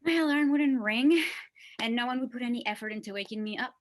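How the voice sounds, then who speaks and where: A woman's voice: fairly high pitch, fast, lightly acted. An actress playing an unhappy, insecure sixteen-year-old schoolgirl in a live script table read. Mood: sullen.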